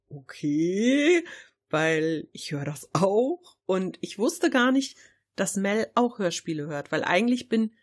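Chatty woman in casual podcast conversation. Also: lowish voice. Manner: colloquial, chatty. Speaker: podcast co-host. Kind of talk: casual chat, reminiscing.

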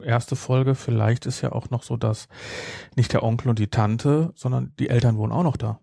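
A man's voice: mid-range voice, steady tone, moderate pace, gravelly, warm tone, dry recording, clean studio sound. A man chatting casually as a podcast co-host, rambling and repeating his phrases. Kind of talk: casual chat.

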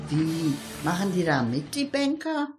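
A woman's voice: on the low side, very animated, measured pace, soft background music. A woman playing a cheerful, chatty female amateur detective in a colloquial radio crime play. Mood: amused, excited. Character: Lotte, cheerful female amateur detective, amazed at her luck.

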